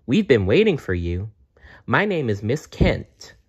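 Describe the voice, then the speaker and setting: A man's voice: mid-range voice, big pitch swings, a little slow, husky, pitched above the performer's own voice, full character voice. A man voicing a friendly, helpful female nurse from a storybook, kindly and welcoming, on a dull recording.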